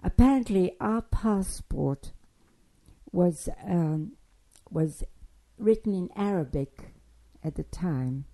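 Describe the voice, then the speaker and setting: Older lady, low gravelly voice, rambling memories with lots of stops and restarts. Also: animated, dark tone, rough recording. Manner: halting, digressive storytelling with many restarts. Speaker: interviewee recounting childhood. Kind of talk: spoken memoir, oral history.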